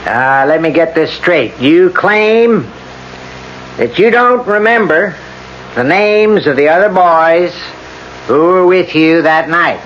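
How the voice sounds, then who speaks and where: An actor playing a dry, methodical lawyer who questions with pointed skepticism in a radio drama. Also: male voice, a little high, animated, slow pace, fairly bright tone. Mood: measured skepticism. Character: dry, methodical male lawyer cross-examining a witness. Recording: muffled, noisy old radio broadcast.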